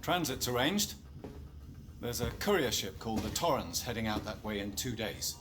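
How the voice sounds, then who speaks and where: A calm, persuasive company man, played by a man speaks in a measured, quiet manner. His voice is on the low side. Music plays behind him. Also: natural voice. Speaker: company representative.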